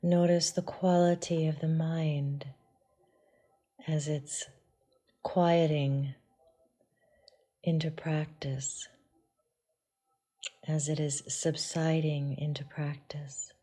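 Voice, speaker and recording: Slow, low, soothing woman guiding meditation. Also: flat delivery, warm tone. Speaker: yoga and meditation teacher. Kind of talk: guided meditation.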